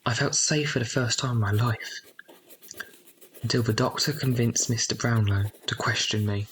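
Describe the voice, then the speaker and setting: An actor playing a reflective grown man narrating his orphan childhood in an audio drama, measured. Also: mid-range voice, a bit bright, a little hiss or hum, close to an everyday voice, rough recording. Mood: wistful. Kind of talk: scripted first-person narration.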